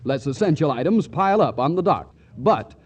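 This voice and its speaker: A man's voice: on the high side, lively intonation, normal speed, slightly rough. A man announcing a scripted 1940s radio advertisement in an emphatic, rhetorical style. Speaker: commercial announcer. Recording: dull old radio recording.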